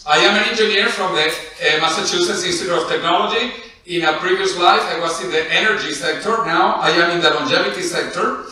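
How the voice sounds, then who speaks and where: A man's voice: high voice, a little fast, pushed and bright. A middle-aged man from Venezuela with a Spanish accent, giving an informal, enthusiastic conference presentation from a podium. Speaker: conference speaker and session host. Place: indoor conference lecture hall at podium. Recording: echoey lecture hall, distant mic.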